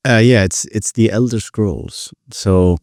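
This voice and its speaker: A young Icelandic man with a very low, mellow voice, recorded close and dry. He talks casually as a podcast guest, enthusiastic and a little rambling, often rewording himself mid-thought. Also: measured pace, no echo. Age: 20s. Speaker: podcast guest, composer and pianist. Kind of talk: casual interview conversation.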